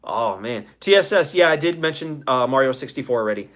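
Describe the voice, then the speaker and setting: A man's voice: medium pitch, expressive, brisk, fairly bright tone. A man in his forties hosting a casual livestream, reading out audience comments in chummy, profane slang with enthusiasm. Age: 40s. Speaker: show host.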